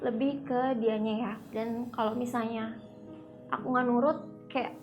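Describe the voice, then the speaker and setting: A woman in her twenties speaks casually in a fairly high voice with very even intonation. Background music is clearly audible. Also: unhurried, lo-fi sound. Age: 20s. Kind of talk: casual interview answers. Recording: music playing behind.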